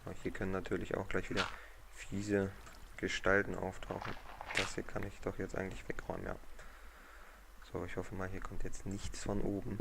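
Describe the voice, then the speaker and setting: Host, man, low and flat, hoarse with a cold, rambling play-along talk, noisy home recording. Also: moderate pace. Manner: relaxed, rambling, thinks aloud. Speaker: gaming channel host. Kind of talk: casual let's-play commentary.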